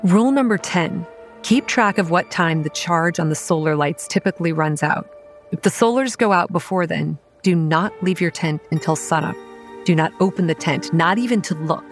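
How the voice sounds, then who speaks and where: A young woman playing a wry campground manager, telling a horror story in a measured, scripted first-person style. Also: lowish voice, natural voice. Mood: stern. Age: young adult. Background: music.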